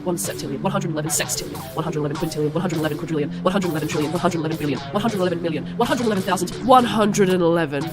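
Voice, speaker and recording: A woman's voice: lowish voice, racing, loud music behind the voice, natural voice. A woman playing a self-dramatising teenage goth girl, melodramatically narrating her own story in a scripted cartoon parody. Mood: melodramatic. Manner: melodramatic, reads typos and numbers literally.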